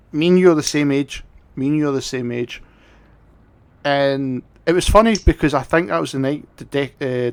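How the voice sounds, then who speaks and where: A man's voice: medium pitch, smooth, no echo. Tipsy, rambling male livestream host. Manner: rambling, sweary. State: tipsy from drinking. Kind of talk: casual livestream chat.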